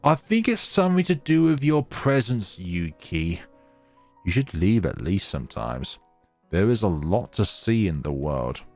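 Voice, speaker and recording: A man's voice: low voice, very animated, unhurried, creaky, a little mellow, faint music behind, exaggerated voice. A man playing an earnest, awkward young traveler in a visual novel, chatty, teasing and self-deprecating.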